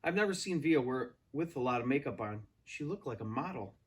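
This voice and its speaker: A man reading a story aloud as an expressive narrator. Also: medium pitch, fairly quick. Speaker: read-aloud reader.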